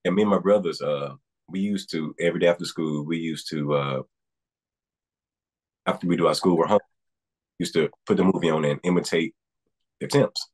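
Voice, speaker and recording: A young man speaks as a guest on a video call from a room at home. His voice is very low with a husky grain, and he talks earnestly in loose, rambling sentences. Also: moderate pace, pauses often. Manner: earnest, rambling with restarts and fillers. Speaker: guest singer. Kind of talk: casual interview. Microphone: video call webcam.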